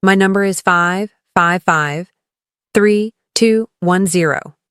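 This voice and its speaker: A woman leading a scripted English lesson as a teacher, encouraging the learner and clearly enunciating model phrases for repetition. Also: lowish voice, slow, a bit bright, dry recording, clean studio sound. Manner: encouraging coaching.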